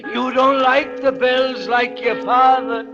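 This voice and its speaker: An actor in a 1940s radio drama playing a stubborn, devoted old English bell ringer, slow and quavering. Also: male voice, extremely high pitch, flat delivery. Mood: defiant. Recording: dull old radio recording, music behind.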